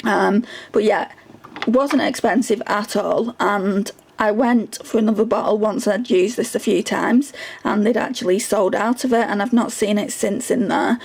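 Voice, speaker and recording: Chatty woman with a gravelly, tiring voice. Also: mid-range voice, unhurried, darkish tone. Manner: chatty, frequent ums, repeats words for emphasis. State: voice going hoarse. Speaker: beauty vlogger. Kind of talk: casual product review.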